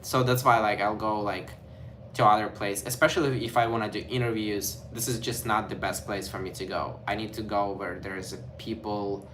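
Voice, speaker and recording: A man's voice: lowish voice, steady tone, normal speed, fairly bright tone, slight background noise. A young man from Ukraine with a Ukrainian accent, chatting casually to camera in a vlog, rambling and filling his talk with "like" and "you know". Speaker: vlogger.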